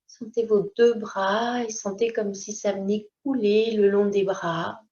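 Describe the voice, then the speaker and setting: A woman teaching yoga nidra, leading a slow guided meditation in a calm, unhurried way with short, gentle instructions. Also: mid-range voice. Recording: dull, lo-fi sound.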